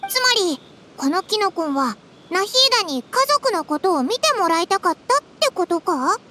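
A woman voicing a small, childlike, cheeky fairy companion in an anime game, with a squeaky voice and blunt, exclamatory delivery. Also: sing-song, moderate pace, background noise. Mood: curious, puzzled. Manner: blunt, exclamatory, boyish informal speech.

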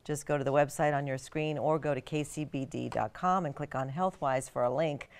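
A woman's voice: sits low, fairly even intonation, brisk, darkish tone. A middle-aged American woman reading scripted TV news narration as an anchor and reporter.

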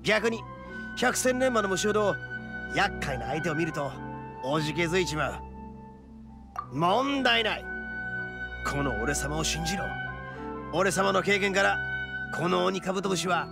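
A man voicing a boisterous, cocky young male oni in an anime-style game, with brash, rough, boastful speech. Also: high voice, expressive, measured pace, long pauses, heavily acted. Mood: confident, explaining. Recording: studio voice over game music. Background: background music.

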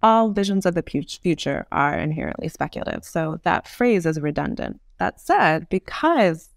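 A woman's voice: fairly low pitch, big pitch swings, moderate pace, vocal fry, dry recording, clean studio sound. Woman explaining ideas, closely and dryly recorded. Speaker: podcast guest, author and urban design expert. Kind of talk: explanatory interview talk.

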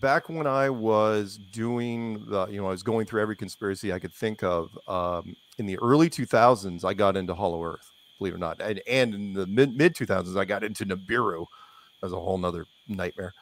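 A man's voice: on the low side, brisk. A man talking casually as an interview guest, opinionated and rambling, repeating phrases for emphasis.

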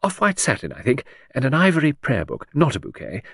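A man's voice: mid-range voice, wildly swooping pitch, brisk, fairly bright tone, husky, no room sound, clean studio sound, pitched above the performer's own voice, full character voice. A man voicing a vague, rambling aristocratic older woman in an audiobook, with breathless, trilling delivery. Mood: chattering. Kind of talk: audiobook character voice.

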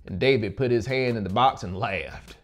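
A man in his thirties narrating a gothic horror audiobook with deliberate, theatrical phrasing. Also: on the low side, muffled recording. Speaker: audiobook narrator and musical performer. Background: music under the voice.

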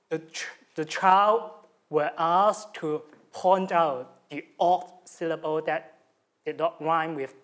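A young man with a Hong Kong accent presents his research, a native Cantonese speaker talking in English. He is animated and gestures a lot, with frequent fillers and restarts. He speaks into a lectern mic in a lecture room. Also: fairly high pitch. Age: young adult. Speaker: student presenter. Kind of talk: academic presentation. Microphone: stand mic at lectern.

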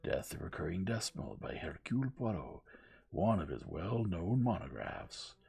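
A man playing a courteous, pensive gentleman detective in an audiobook, measured but firm. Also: deep voice, big pitch swings, vocal fry, breathy edge, exaggerated voice.

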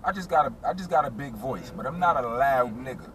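A man's voice: mid-range voice, expressive, a little fast, far from the mic. A man in his forties with a New York accent, telling stories in a laid-back, casual way as an interview guest in a moving car. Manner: laid-back storyteller, frequent "you understand what I'm saying". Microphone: camera mounted on dashboard. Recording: car interior with loud road noise.

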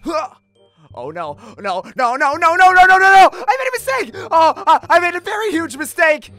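A woman's voice: very high voice, big pitch swings, moderate pace, fairly bright tone, faint music behind, exaggerated voice. A woman voicing a nervous, cocky young male cartoon hedgehog, rambling and stammering in a comic dub. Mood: panicked. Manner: rambling, stammering, easily flustered. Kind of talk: fan comic dub voice acting.

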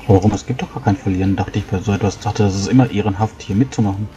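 A man with a low, dark voice plays a tabletop role-playing game, talking casually in character. The sound is slightly dull and muffled. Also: steady tone, very fast, faint music behind.

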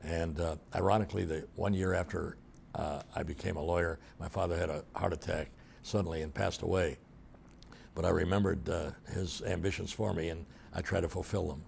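Gravelly, deep-voiced man in his eighties. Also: fairly even intonation, brisk, a little mellow, a little hiss or hum. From Detroit, Michigan. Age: 80s. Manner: reflective storytelling with frequent fillers and restarts. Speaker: interviewee, former state attorney general. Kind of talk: oral history interview. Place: office with bookshelves, indoors.

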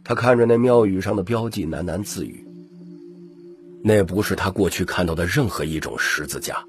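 A man narrating an audiobook in a scripted, dramatic storytelling style, with a husky, gravelly voice. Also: low-pitched.

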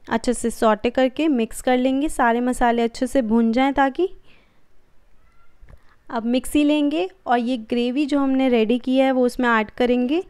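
A woman hosting a cooking channel, giving calm, friendly step-by-step recipe instructions in a voice-over. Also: sits high, moderate pace, clean tone.

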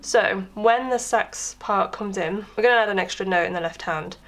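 Hoarse woman teaching piano. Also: medium pitch, normal speed, fairly bright tone. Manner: chatty, explains step by step with filler words. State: hoarse from being under the weather. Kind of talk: casual tutorial explanation. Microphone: camera microphone with crackle. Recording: crackling camera mic with piano playing. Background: piano playing.

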